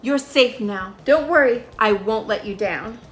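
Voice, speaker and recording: A woman's voice: fairly high pitch, measured pace, echoey room, natural voice. A woman voicing an earnest, kindly male elephant from a storybook, speaking in an emphatic, pleading way. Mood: concerned, reassuring.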